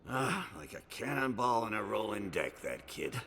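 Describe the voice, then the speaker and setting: Casting: sick old hero storyteller for fantasy game, deep and bright-edged, weary braggart. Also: male voice, very animated, moderate pace, slight background noise, heavily acted. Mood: grumbling. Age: older. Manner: rambling, boastful storytelling. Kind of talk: fantasy video game acting. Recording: music behind the voice.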